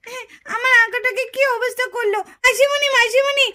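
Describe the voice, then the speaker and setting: Indignant little cartoon girl, acted by a woman, extremely high, bright, plaintive. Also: steady tone, fairly quick. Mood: upset, accusing. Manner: plaintive, accusing. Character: wronged, indignant little girl accusing another child. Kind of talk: Bengali puppet-show cartoon acting.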